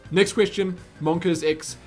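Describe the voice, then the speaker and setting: A man's voice: high voice, fairly even intonation, a little slow, darkish tone, soft background music. A young man with a South African accent answering viewer questions in a casual, rambling vlog. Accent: South African English. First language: Afrikaans. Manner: chatty, rambling, self-interrupting. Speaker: YouTube Q&A host.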